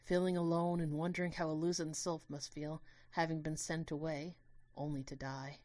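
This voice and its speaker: A woman giving an earnest, expressive first-person narration of a dramatic story. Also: low-pitched, normal speed, no room sound.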